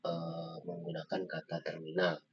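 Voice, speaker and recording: A young man giving a halting student slide presentation, pausing often on fillers. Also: low voice, monotone, soft background music. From Indonesia. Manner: halting, with frequent filler pauses. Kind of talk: slide presentation of an article review. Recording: dull lo-fi microphone sound.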